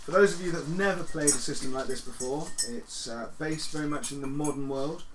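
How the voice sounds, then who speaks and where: Measured man storyteller in a noisy room. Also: a little high, echoey room. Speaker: game master, storyteller. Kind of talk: explaining a tabletop game to viewers. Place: living room with a group.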